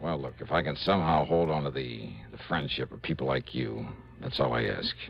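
A man's voice: a bass voice, fairly quick, vocal fry. A man acting as a sincere American investigator in a mid-century radio drama. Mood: heartfelt, grateful. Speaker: star of a radio detective drama. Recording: muffled old radio recording with music.

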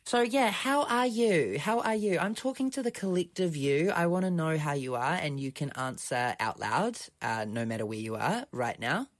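A man's voice: on the high side, lively intonation. A man with a New Zealand accent co-hosting a podcast in casual, chatty and playful banter. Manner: chatty, playful, drawn-out teasing. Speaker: podcast co-host. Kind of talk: casual chat.

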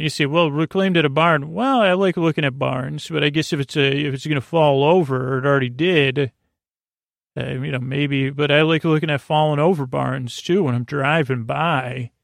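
A man hosting a bedtime podcast in a rambling monologue, halting and restarting his sentences, self-deprecating and absent-minded, with a creaky, gravelly voice. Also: a little high, steady tone, no room sound, clean studio sound. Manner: meandering, halting, self-interrupting, stammering restarts. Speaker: podcast host.

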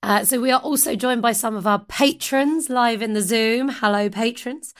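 A woman with a slightly croaky voice co-hosts a tabletop game podcast. She talks in a casual, playful way and laughs often. Her voice sits a little high for a woman. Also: moderate pace. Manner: giggly, playful. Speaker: podcast co-host.

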